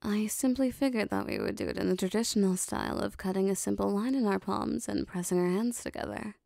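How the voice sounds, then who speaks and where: A woman playing a lovestruck, possessive demon bound to a sorcerer, speaking softly and formally in an intimate roleplay audio drama. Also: mid-range voice, lively intonation, no echo, studio quality. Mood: flustered eager. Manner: soft, breathy, formal archaic phrasing, lingering pauses.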